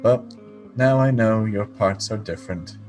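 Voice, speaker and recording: A man playing a melancholy, polite android poet in a radio play, speaking in a stilted, formal, halting way. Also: on the low side, darkish tone, soft background music, close to an everyday voice. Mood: mournful, reciting. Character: melancholy, polite male android poet, fast-food worker.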